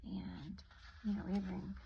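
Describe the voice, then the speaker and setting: A woman reading a children's story aloud like a teacher, expressively drawing out words and adding hushed, chatty asides. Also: medium pitch, flat delivery, slow pace, creaky, highs cut off. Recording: noticeable background noise.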